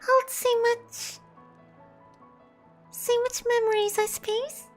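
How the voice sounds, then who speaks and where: A woman streamer with a tiny, extremely high voice and little pitch movement. She chats casually in a cutesy, gushing, repetitive way, with soft music behind her. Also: level tone, slow pace. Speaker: VTuber streamer. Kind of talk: casual livestream chat.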